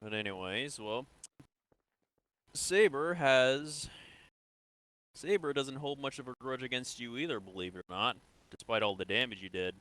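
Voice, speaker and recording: A man playing a calm, businesslike sci-fi military interrogator in an improvised game roleplay, questioning in a measured, conversational way. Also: medium pitch, natural voice, poor audio. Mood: firm, reassuring. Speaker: player in an online roleplay.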